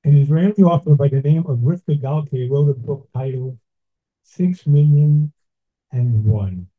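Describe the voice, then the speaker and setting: An older man from Brooklyn, giving a slow, deliberate, reflective talk with pauses. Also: fairly high pitch, slightly rough. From Brooklyn, New York. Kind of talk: measured reflective address. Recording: tinny phone-like sound.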